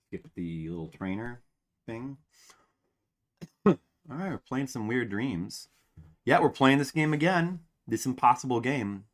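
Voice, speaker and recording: A man's voice: medium pitch, fairly bright tone. A man in his forties, a game streamer, chatting casually and ramblingly to his viewers at home, with self-mocking humor. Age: 40s.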